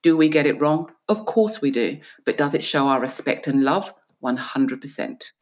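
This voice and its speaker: A woman's voice: sits low, expressive, moderate pace, a little mellow, muffled recording. A woman from Australia, an author and guest, talking earnestly and with emphasis in an interview and acting out small dialogues. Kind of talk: reflective interview conversation.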